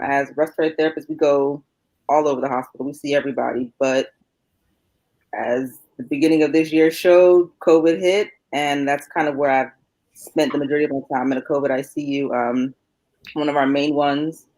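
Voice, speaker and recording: Low-pitched woman in her thirties. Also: steady tone, a little fast, darkish tone, dry recording, low-quality recording. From born and raised in New York. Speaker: respiratory therapist panelist. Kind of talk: casual self-introduction on a panel.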